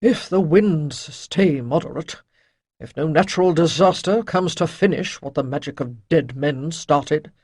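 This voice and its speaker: A narrator voicing an elderly wise male master, speaking in a patient, gently lecturing way. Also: mid-range voice, animated, moderate pace, husky, dry recording, clean studio sound. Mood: gloomy.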